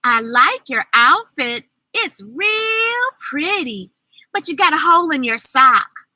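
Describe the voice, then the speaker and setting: Woman putting on a bright, high, blunt little schoolgirl. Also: sing-song, takes it slowly, dry recording, exaggerated voice. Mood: cheerful, blunt. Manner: emphatic, childlike, folksy grammar. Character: blunt, self-satisfied, eager schoolgirl.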